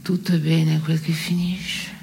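An actress playing a dry, needling woman in absurdist theatre, clipped and deadpan, often slipping toward a whisper. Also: sits low, measured pace, vocal fry, slight background noise, exaggerated voice. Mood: deadpan, dark. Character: dry, needling woman musing darkly on morals. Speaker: stage actress. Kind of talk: scripted absurdist theatre dialogue.

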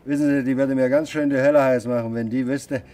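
An actor playing a chatty, sheepish fishmonger in a 1960s German radio crime play, talking in a colloquial, folksy way. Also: male voice, medium pitch, fairly even intonation, fast talker, warm tone, lightly acted. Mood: sheepish, confiding. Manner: colloquial, folksy, tag questions. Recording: faintly noisy 1960s radio play recording.

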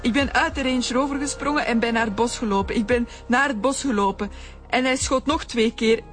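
Frightened female witness on the run, played by an actress, higher voice, radio drama with music underneath. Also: brisk, fairly bright tone, lightly acted. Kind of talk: naturalistic radio drama acting.

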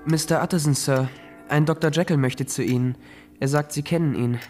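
A man's voice: on the high side, brisk, music in the background, natural voice. Man playing obedient, hesitant office boy. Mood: respectful. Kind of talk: German radio drama acting.

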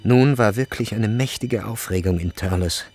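Guy narrating a serious novel as a radio play, gravelly voice, music behind him. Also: lowish voice, normal speed.

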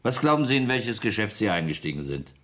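Nasty mobster boss in a radio krimi, mocking, bossy, voice goes up and down a lot. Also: male voice, lowish voice, big pitch swings, fast, a bit bright. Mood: menacing, sarcastic. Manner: taunting rhetorical questions, curt orders. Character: menacing male gangster, mocking and domineering. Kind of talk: 1970s German radio crime drama.

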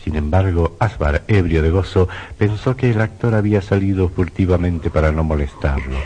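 A man's voice: deep voice, normal speed, dark tone, husky. A man narrating a horror radio play in a suspenseful, sinister, theatrical way. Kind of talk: dramatic scripted horror narration. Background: music.

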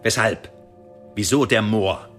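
Low-voiced, mocking, menacing interrogator. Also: male voice, slow pace, a bit bright, soft background music. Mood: probing, sardonic. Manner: sardonic, threatening, ornately officious phrasing. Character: menacing, mockingly polite male secret-service interrogator. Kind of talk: German historical radio drama acting.

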